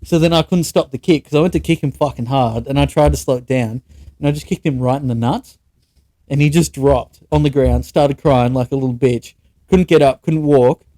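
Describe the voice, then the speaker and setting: A man's voice: medium pitch, brisk, dark tone, dry recording. A young Australian man co-hosting a podcast, telling stories in a casual, sweary and blunt way. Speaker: podcast co-host. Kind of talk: casual chat, anecdotes with mates.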